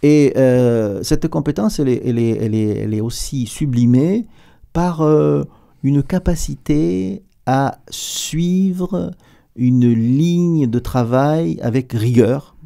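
A middle-aged man with a southern French accent, a company director giving an animated, hesitant radio studio interview with repeated words. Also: mid-range voice, slow pace, creaky. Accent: southern French, Provençal. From southern France. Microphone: table mic with headphones.

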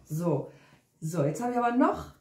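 A woman's voice: lowish voice, big pitch swings, normal speed, a little hiss or hum. A young woman interviewing her father at a living-room table, asking playful, teasing questions. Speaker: interviewer and daughter. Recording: echoey room.

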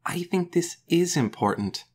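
A woman voicing a serious, thoughtful young boy in a children's audiobook, soft-spoken, hesitant and doubtful. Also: fairly low pitch, expressive, measured pace, breathy edge, pitched above the performer's own voice. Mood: murmuring, absorbed. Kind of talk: children's audiobook character dialogue.

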